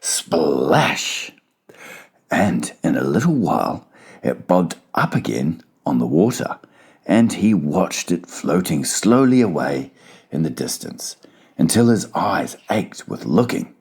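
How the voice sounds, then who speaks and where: Deep, gravelly male storybook narrator. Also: sing-song, takes it slowly, a little mellow. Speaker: audiobook narrator. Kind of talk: dramatized children's storybook narration.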